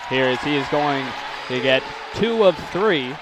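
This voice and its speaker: A man calling live basketball play-by-play, rattling off names and stats and shouting on big plays. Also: medium pitch, lively intonation, measured pace. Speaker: play-by-play commentator. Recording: live gym crowd and court noise. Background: crowd noise and bouncing basketballs.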